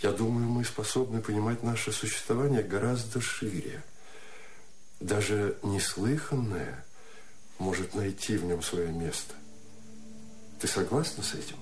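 Lowish-voiced contemplative man poet played by an actor. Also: measured pace, pauses often, slight background noise, lightly acted. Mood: tender. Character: reflective, tender, solitary male poet writing letters. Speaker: actor in a radio play. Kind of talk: theatrical letter reading in radio play.

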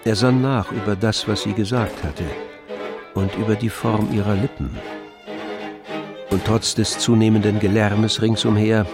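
A male narrator with a fairly low voice reads literary prose for a radio drama. Music plays softly behind him. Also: flat delivery, unhurried.

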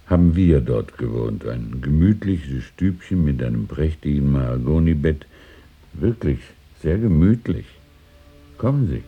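An actor playing a courteous, wistful old antique shopkeeper in a radio drama, speaking haltingly and confidingly. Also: male voice, rumbling low, husky, phone-quality sound, full character voice. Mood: confiding, gentle. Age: older. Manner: halting, polite, confiding, chuckling old-man speech. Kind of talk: 1970s German radio drama acting.

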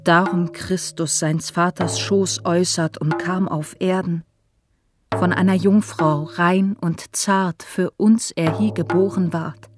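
A woman's voice: on the low side, steady tone, takes it slowly. An actress playing a grieving, devout woman in a radio passion play, reciting verse mournfully. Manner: mournful, declamatory. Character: grieving devout woman lamenting the captured Jesus. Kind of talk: poetic radio drama recitation. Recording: prominent music behind the voice.

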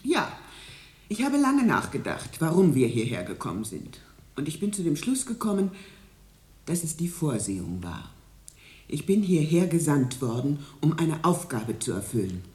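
A woman's voice: low-pitched, very animated, moderate pace, darkish tone. A woman playing a stern, zealous missionary in a radio drama, solemn, preachy and emphatic, in a roomy echo. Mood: resolute, fervent. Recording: roomy, echoing studio scene.

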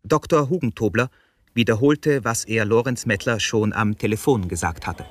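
A man's voice: on the low side, normal speed, close to an everyday voice. A man acting as the sober, composed narrator of a crime radio play, reading scripted narration in a factual way.

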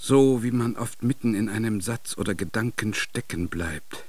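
An actor playing a reflective, solemn literary narrator in a radio drama, with deliberate and ornate phrasing. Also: male voice, fairly low pitch, no room sound, natural voice. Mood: musing.

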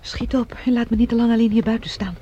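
A woman's voice: fairly high pitch, fairly even intonation, quick pace, warm tone, lo-fi sound, close to an everyday voice. Actress as nervous, watchful woman on the run. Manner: nervous, often whispering. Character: anxious, watchful female fugitive on Mars. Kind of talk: science fiction radio drama acting. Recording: noisy scene with background ambience.

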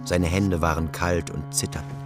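Sehr tiefer männlicher Erzähler über Musik. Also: male voice, deep voice, fairly even intonation, moderate pace. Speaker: narrator. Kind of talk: literary radio play narration. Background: music.

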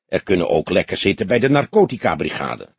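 A man voicing a calm, skeptical old police detective in an audiobook, measured and placating. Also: low voice, creaky, husky, no room sound, exaggerated voice. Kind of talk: audiobook character voice.

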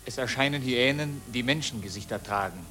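An actor as a sober narrator, reading stage directions in a radio drama. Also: male voice, medium pitch, brisk, bright, forceful tone, soft background music, natural voice.

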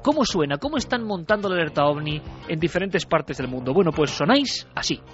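Rousing radio host, muffled. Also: male voice, fairly high pitch, animated, fairly quick, fairly bright tone. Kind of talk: enthusiastic radio monologue. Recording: muffled radio broadcast with faint music.

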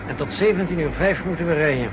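An actor playing a controlling, tight-lipped secret-service escort in a 1960s radio drama, giving terse, clipped orders. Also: male voice, quick pace, natural voice. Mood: curt, evasive. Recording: noisy old radio recording, car rumble.

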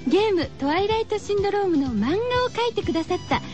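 A woman's voice: very high voice, animated, quick pace, a little mellow, faint music behind. A woman hosting a radio show from a studio, chatty but scripted, with cutesy, playful phrasing.

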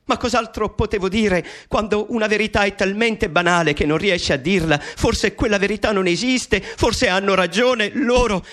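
A man's voice: sits high, a bit bright. An Italian actor in a radio play, playing a persecuted male mathematician who gives an intimate, bitter, pleading confession to his mother. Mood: despairing. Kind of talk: radio drama monologue.

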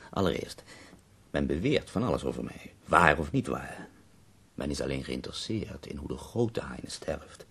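An actor playing a bedridden, dying poet who speaks in ornate, wry, theatrical phrases in a radio drama. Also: male voice, rumbling low, sing-song, normal speed, gravelly, a bit bright, slightly rough, exaggerated voice. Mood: resigned, sardonic.

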